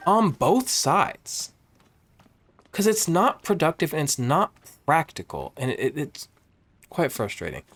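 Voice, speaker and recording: Rambling animated man in his twenties. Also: a little slow. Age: 20s. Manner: rambling, animated, swings high when excited. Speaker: vlogger. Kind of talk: casual chatty monologue. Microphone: phone camera.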